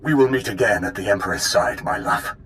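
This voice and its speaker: An actor playing a fanatical Sith executor in a video game, solemn, commanding and menacing. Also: male voice, fairly low pitch, fairly quick, fairly bright tone, a little hiss or hum, lightly acted. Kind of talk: dramatic video game villain acting.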